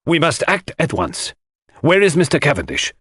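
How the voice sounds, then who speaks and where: A man voicing a fussy, excitable, vain foreign detective who speaks emphatically in an audiobook mystery. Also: on the high side, moderate pace, slightly rough, no echo, studio quality, pitched above the performer's own voice, exaggerated voice. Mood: decisive. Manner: emphatic, repeats words, French phrases sprinkled in.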